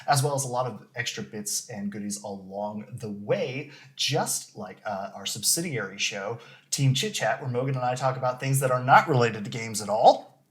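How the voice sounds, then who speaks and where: A young adult man hosting a podcast on a clip-on mic, chatting casually and rattling off sponsor reads. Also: mid-range voice. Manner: animated, quick sponsor reads. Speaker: podcast host. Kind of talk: casual live-stream chat. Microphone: clip-on lapel mic.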